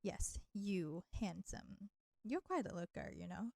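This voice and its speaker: Woman playing a teasing vampire seductress, creaky voice. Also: medium pitch, animated, darkish tone, dry recording, clean studio sound. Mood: flirty. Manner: slow, teasing, coaxing, addressing listener with pet names. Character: flirty, teasing, nurturing female vampire, ancient enemy turned caretaker. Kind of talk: intimate roleplay audio drama.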